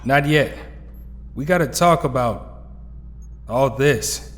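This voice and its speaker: A man's voice: mid-range voice, lively intonation, slow pace, a bit bright. An actor playing a somber, loyal young male hero in an audio drama. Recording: reverberant hall sound.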